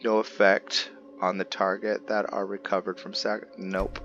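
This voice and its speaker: A man's voice: sits low, flat delivery, moderate pace, fairly bright tone. A man giving casual, improvised game commentary, musing aloud to himself in a tentative way. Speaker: gaming video host. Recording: muffled, with clear background noise.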